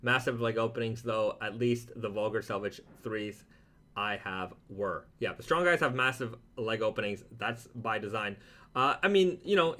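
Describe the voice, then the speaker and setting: A man's voice: on the low side, pushed and bright. A man chatting casually as a live stream host, talking through products in a rambling, easygoing way.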